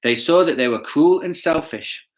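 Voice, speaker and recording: A man reading a children's story aloud with chatty asides, playful and expressive, often putting questions to his listeners. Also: fairly high pitch, normal speed. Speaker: children's bedtime story reader.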